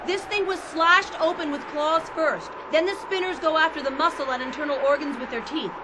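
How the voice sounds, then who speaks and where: An actress playing an outspoken, skeptical physician at a mining colony in a radio drama, with a blunt, argumentative manner. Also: female voice, high voice, fairly quick, natural voice. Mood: tense, analytical. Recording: noisy ambience behind the voice.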